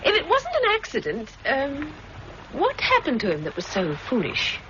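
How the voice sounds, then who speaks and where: A high, very lively young woman with a bright, sparkling tone teases and laughs her way through the scene. It is an old radio drama recording, dull and lo-fi with a faint hiss under the voice. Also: normal speed, exaggerated voice. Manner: lively, playful, laughs easily. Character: young island woman Mary, bright and teasing. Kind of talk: 1960s radio drama acting.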